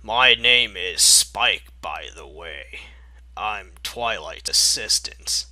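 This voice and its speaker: A man's voice: low voice, lively intonation, takes it slowly, pushed and bright, no echo, pitched below the performer's own voice, exaggerated voice. A man voicing a friendly little male cartoon dragon in a story reading. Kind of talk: fan fiction character reading.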